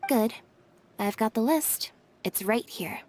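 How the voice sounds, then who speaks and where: A woman's voice: on the high side, animated, takes it slowly, very noisy. An actress playing a cool, unemotional young woman who speaks tersely.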